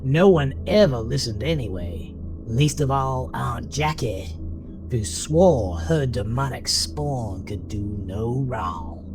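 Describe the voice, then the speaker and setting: Male narrator for horror audio drama, unhurried, animated pitch, dramatic storytelling over music.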